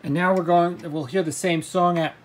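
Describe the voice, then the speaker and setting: Male hobbyist presenter, slightly higher-pitched voice, casual demo style, stumbles and repeats himself. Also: steady tone, slight background noise. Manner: halting, repeats words and stumbles. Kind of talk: casual gadget demonstration to camera.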